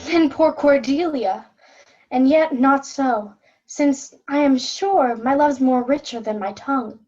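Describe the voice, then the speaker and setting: Casting: actress as honest youngest royal daughter, high voice, classical verse, slightly dull recording. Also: female voice, takes it slowly, a little mellow. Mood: anxious, sincere. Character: sincere, plain-spoken youngest princess, troubled. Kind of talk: Shakespearean reader's theatre.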